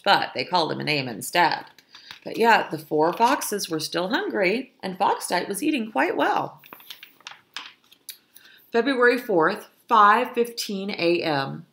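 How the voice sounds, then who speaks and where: Slow, expressive woman reading a storybook. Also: lowish voice, vocal fry. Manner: expressive, explanatory. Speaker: storytime reader, teacher. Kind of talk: reading a picture book aloud and explaining it to children.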